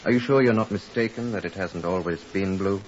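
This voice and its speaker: An actor playing a dry, sceptical police superintendent in a 1950s radio drama, with a clipped, matter-of-fact manner. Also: male voice, low-pitched, brisk, close to an everyday voice. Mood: brisk questioning. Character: dry, sceptical male Scotland Yard superintendent. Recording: muffled hissy old radio recording.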